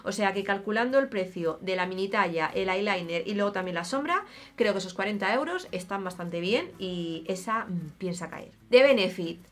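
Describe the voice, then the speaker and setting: A woman's voice: mid-range voice, expressive, moderate pace. A woman in her thirties with an accent from Spain, chatting casually and expressively to camera as an opinionated beauty vlogger. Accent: Spanish from Spain. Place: small home room, indoors.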